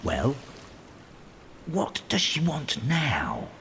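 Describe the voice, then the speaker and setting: Casting: magical fish of the sea, gravelly and grudging, wildly swooping pitch, fairy tale drama. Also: male voice, huge pitch swings, very slow, a bit bright, slight background noise, heavily acted. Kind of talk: full cast audio fairy tale drama.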